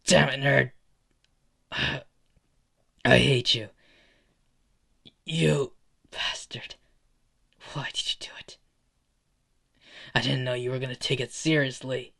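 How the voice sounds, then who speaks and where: A man voicing a furious, hurt young rival who shouts accusing insults in an anime fan drama. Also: mid-range voice, fairly even intonation, slow pace, gravelly, pauses often, husky, exaggerated voice. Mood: angry, hurt.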